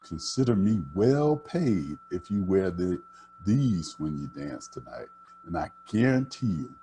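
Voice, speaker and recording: Man reading as a spirited country girl, lively intonation. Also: low voice, a little slow, faint music behind, poor audio. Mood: earnest, pleading.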